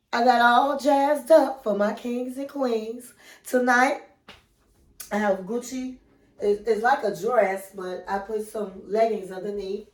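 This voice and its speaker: Woman host of an advice channel, nasal from a cold; slow, familiar delivery, slightly high voice, echoey room. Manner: chatty and familiar, calls viewers queens and honey. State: has a cold, sounds nasal. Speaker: relationship coach and channel host. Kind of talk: casual advice vlog chat.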